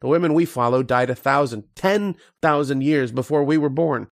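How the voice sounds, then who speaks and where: An actor playing an earnest, haunted archaeologist telling his uncanny tale in old-time radio horror style, with dramatic, deliberate pauses. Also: mid-range voice, gravelly, natural voice. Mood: brooding, haunted. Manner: dramatic first-person storytelling with deliberate pauses.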